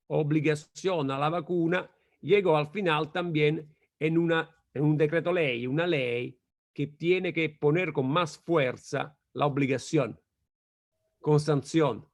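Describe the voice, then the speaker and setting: An Italian-accented man gives a measured academic talk over a video call, his voice slightly high-pitched. He speaks slowly and haltingly, often stopping to fix a word mid-phrase. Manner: slow, halting, self-correcting. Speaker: guest lecturer.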